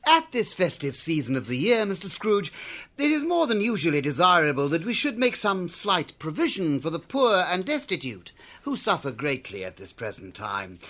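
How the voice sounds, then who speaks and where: An actor playing a polite, earnest gentleman collecting for charity, formal and courteous, in a radio drama. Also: a little high, exaggerated voice. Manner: formal, courteous, well-spoken. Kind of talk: theatrical radio drama acting.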